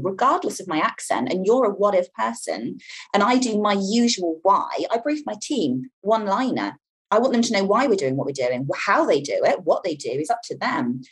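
A woman's voice: fairly low pitch, breathy edge, poor audio. A woman communication coach speaking in an articulate, emphatic way about how people take in information. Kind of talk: interview talk, explaining.

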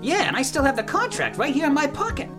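A woman's voice: high-pitched, huge pitch swings, quick pace. A woman acting a fretful, sarcastic band manager in a comic radio play. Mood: earnest. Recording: music under the dialogue.

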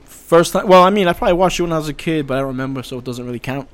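Man, fairly high voice, casual slang, stumbling restarts, podcast guest. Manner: halting, repeats words, casual slang. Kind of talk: casual chat.

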